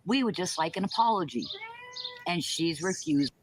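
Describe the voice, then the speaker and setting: A woman's voice: lowish voice, lively intonation, moderate pace. A woman speaking bluntly and confrontationally in a casual, argumentative chat. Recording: noisy, with birdsong chirping behind.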